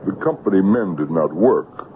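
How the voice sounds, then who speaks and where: A man's voice: sits low, animated, moderate pace. An actor playing a shrewd, deferential African trading station man in a 1970s radio drama, speaking clipped, simplified English. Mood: grave, deferential. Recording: muffled, noisy old radio broadcast.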